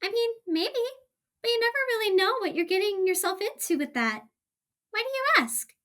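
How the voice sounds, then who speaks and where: A woman's voice: very high voice, animated, normal speed, fairly bright tone. A woman voicing a spirited small female pit fighter in an audio drama. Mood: wary, curious.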